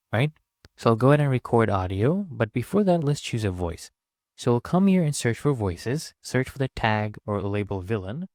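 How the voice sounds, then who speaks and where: A man's voice: mid-range voice, a little mellow, no echo. Man narrating a tutorial, very dry sound. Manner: informal, instructional. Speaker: tutorial presenter. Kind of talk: casual step-by-step software tutorial narration.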